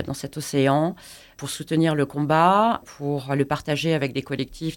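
A woman from France, an invited artist, answering interview questions haltingly with many fillers and restarts. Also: a bass voice. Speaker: guest artist. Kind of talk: studio interview.

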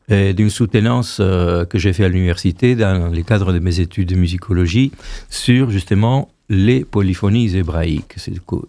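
Gravelly low-voiced man in his sixties. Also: warm tone, dry recording. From Argentina. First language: Hebrew. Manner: unhurried storytelling, repeats and restarts phrases. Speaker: choir director, guest. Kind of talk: radio interview.

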